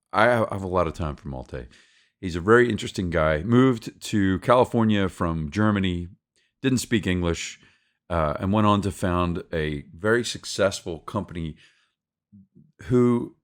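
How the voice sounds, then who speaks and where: A male podcast host with a somewhat low voice speaks in an easygoing, conversational way, laughing often and breaking off to restart his sentences. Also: lively intonation, a little slow. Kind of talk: casual podcast interview.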